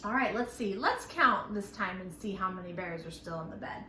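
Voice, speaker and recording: A woman's voice: mid-range voice, expressive, a little fast, bright, forceful tone, a little hiss or hum. A woman leading a children's storytime, talking in an exaggerated singsong way as if to small children. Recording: echoey room.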